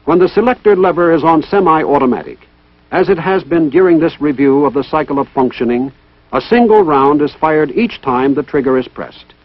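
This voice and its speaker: Training film narrator: male, higher-pitched, formal and methodical, lively intonation, dull old soundtrack. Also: moderate pace, no echo. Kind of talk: scripted instructional narration. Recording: dull, band-limited vintage military film soundtrack.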